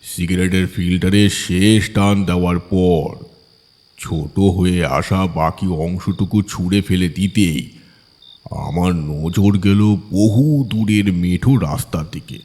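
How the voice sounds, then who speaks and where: A man's voice: low-pitched, takes it slowly, warm tone. A man narrating a scripted horror story as an audiobook reader. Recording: long hall-like reverb.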